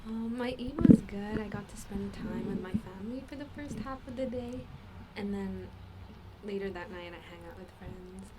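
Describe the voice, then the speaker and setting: An eighteen-year-old woman, a college student, speaking briefly and softly as a podcast guest. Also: medium pitch, normal speed. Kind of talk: casual podcast chat. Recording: noisy background.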